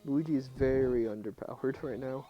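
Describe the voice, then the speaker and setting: A young man with a low voice, talking quietly and casually to his chat at night while he plays a game. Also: normal speed, dull audio. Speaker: streamer. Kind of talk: casual live game commentary. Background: faint game music.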